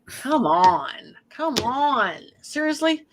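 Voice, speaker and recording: A guest in a casual conversation, rambling and animated, often saying "you know.". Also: high voice, drawn-out words, a little hiss or hum. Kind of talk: casual chat.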